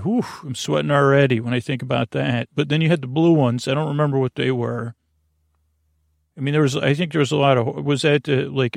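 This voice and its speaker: A man hosting a bedtime podcast, talking in a meandering, halting way and often correcting himself as he winds listeners down for sleep. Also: medium pitch, vocal fry, no room sound, clean studio sound. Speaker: podcast host. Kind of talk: rambling bedtime podcast intro.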